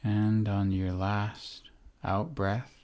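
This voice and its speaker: A man guides a relaxation exercise in a deep, soft-edged, mellow voice. He speaks very slowly and gently, in short phrases. Long, soothing pauses separate each instruction. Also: fairly even intonation. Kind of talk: guided meditation, body scan.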